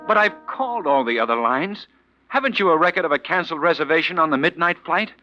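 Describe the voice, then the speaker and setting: Harried, stammering professor, lively swinging delivery, dull old radio-mystery recording. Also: male voice, a little high, fast, soft background music. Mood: urgent. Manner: stammering, urgent. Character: weary, desperate professor falsely suspected of murder. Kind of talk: 1940s radio mystery acting.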